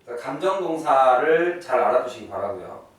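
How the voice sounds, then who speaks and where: Echoey, animated male English teacher. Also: mid-range voice, a little fast. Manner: emphatic, repeats key terms, asks and answers own questions. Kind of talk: classroom-style grammar lecture. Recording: echoey room, distant mic, faint noise.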